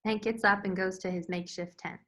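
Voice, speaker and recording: A woman's voice: medium pitch, fairly even intonation, fairly quick, a bit bright. A woman reading screen directions as the narrator, in scripted narration style.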